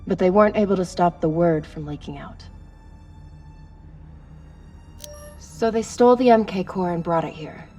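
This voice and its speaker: A woman's voice: on the low side, a little fast, dark tone, faint music behind, lightly acted. A woman playing an earnest, persuasive space crewmate in a video game, direct and urgent in her questioning. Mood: probing, concerned. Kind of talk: dramatic video game acting.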